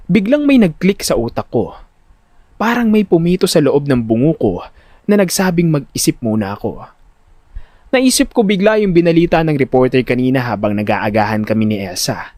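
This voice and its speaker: A man narrating a first-person audio drama in an expressive, suspenseful, scripted storytelling style. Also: a little high, normal speed, no room sound, clean studio sound.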